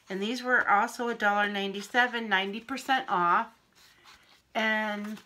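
A middle-aged woman from Pennsylvania, a little wheezy and often clearing her throat, chatting through a craft haul on camera in her home kitchen. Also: medium pitch, pushed and bright. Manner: chatty, unhurried, friendly show-and-tell. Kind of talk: casual haul video. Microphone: camera in front at arm's length.

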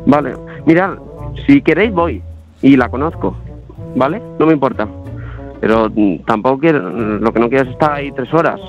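A man's voice: mid-range voice, animated, moderate pace, soft background music. A young man with an accent from Spain on a phone line, speaking nervously and hesitantly, trying to placate. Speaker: prank call victim.

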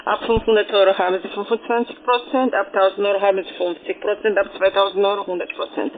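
A man making a telesales call in a halting, repetitive way. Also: high-pitched, little pitch movement. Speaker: telesales caller. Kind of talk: sales phone call. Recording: noisy, narrow phone line.

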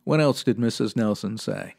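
A man playing a deadpan 1950s police sergeant. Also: fairly low pitch, moderate pace, vocal fry. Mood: stern. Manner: deadpan, clipped, businesslike. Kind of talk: old-time radio police drama acting and narration.

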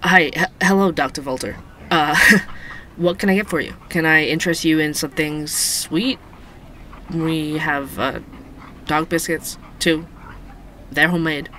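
A man's voice: fairly high pitch, fairly even intonation, unhurried, pushed and bright, slight background noise. An actor playing a nervous, eager male barista and amateur reporter in an audio drama, flustered and hesitant. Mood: flustered, awed.

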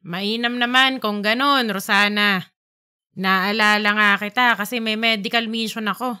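A woman voicing a calm, businesslike doctor in an audiobook. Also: mid-range voice, moderate pace, smooth. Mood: calm, informative. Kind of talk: audiobook character reading.

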